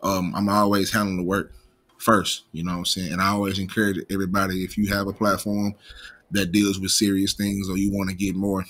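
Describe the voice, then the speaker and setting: Male commentary host, American, lowish pitch with a sharp edge, slight stutter, casual profane street slang, unscripted. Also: monotone, fairly quick. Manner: imperfect pronunciation of some words.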